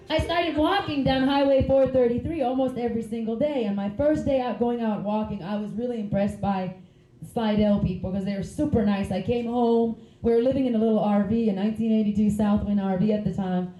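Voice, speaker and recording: A woman tells stand-up stories in a rambling way that keeps breaking into giggles. Her voice sits a bit high with a soft, mellow tone. The stage mic picks up an echoey club room around her. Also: a little fast, muffled recording. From Finland. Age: 30s. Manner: chatty, giggly, rambling asides. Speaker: stand-up comedian. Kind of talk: stand-up comedy set.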